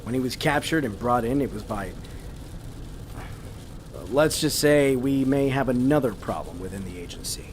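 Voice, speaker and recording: An actor playing a seasoned former field agent, wary, serious and dryly exasperated, in an audio drama. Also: male voice, medium pitch, lively intonation, moderate pace, long pauses, slight background noise, lightly acted.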